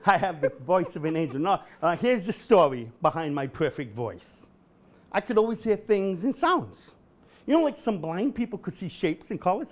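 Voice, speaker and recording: Middle-aged guy doing standup at an event, deadpan jokes, voice jumps all over, sudden yelled singing. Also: high-pitched. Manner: deadpan delivery, bursts into shouted singing. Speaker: comedian host. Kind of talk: stand-up comedy set. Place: event hall with a live audience.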